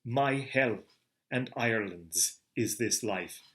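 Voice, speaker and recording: A man's voice: mid-range voice, slow pace, fairly bright tone. A man voicing a guilt-wracked murderer in a melodramatic literary reading, with wild theatrical outbursts. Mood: tormented.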